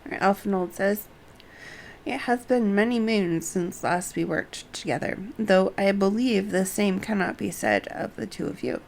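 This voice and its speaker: A woman's voice: medium pitch, moderate pace, vocal fry, slight background noise. A woman game streamer commenting live and reading dialogue aloud.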